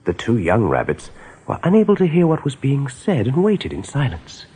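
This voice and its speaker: A man narrating a radio drama in a measured, scripted storytelling style. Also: medium pitch, wildly swooping pitch, dark tone, husky, exaggerated voice.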